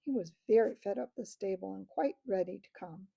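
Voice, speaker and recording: A woman's voice: mid-range voice, brisk, vocal fry, mellow, breathy edge, highs cut off. A woman reading as an earnest schoolgirl, Jill. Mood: excited. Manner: eager and pleading. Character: brave, earnest English schoolgirl.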